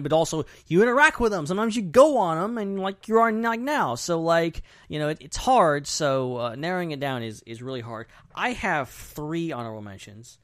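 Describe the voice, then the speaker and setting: A man's voice: on the high side, a bit bright, no room sound, studio quality. A man hosting a podcast in casual, informal chat, repeating short phrases for emphasis. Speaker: podcast host.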